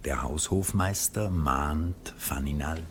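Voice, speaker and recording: An actor serving as the calm narrator of a 1960s radio drama, reading scripted stage directions. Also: male voice, rumbling low, takes it slowly. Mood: calm narration. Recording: 1960s radio recording, faint hiss.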